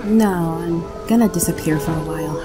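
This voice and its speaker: A woman plays a composed photojournalist in an audio drama. Her voice is fairly low and gently wry, with clear music behind it. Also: brisk, natural voice. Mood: gentle.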